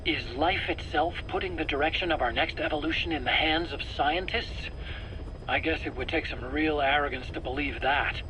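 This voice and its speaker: An anxious, defensive scientist under questioning in a game drama. His voice is bright and tense, with earnest, stammering self-justification. A faint tape noise sits over it. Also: on the high side, moderate pace. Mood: earnest, intrigued. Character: nervous, self-justifying male scientist under interrogation, pleading. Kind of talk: video game voice acting. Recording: noisy cassette tape interrogation recording.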